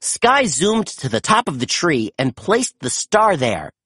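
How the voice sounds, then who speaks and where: A storybook narrator reading aloud to children in an expressive, animated way with dramatic emphasis. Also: mid-range voice, a little slow, breathy edge, dry recording.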